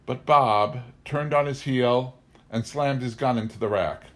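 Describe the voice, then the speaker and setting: A man reading a memoir novel aloud in an expressive, scripted storytelling style. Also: medium pitch, unhurried. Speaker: reader of an audiobook.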